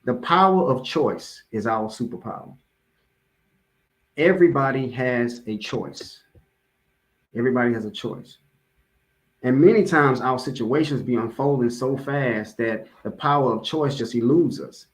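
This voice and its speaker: A man's voice: medium pitch, a little slow, low-quality recording. Male American preacher, mid-range voice, informal motivational address, emphatic repetition, conversational with listeners. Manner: emphatic repetition of key phrases. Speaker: religious speaker giving a public address.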